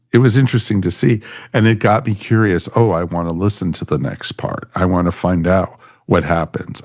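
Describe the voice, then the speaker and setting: Low-voiced man in casual podcast talk. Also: darkish tone, no echo, clean studio sound. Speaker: podcast panelist reviewing audio dramas. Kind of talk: casual panel discussion.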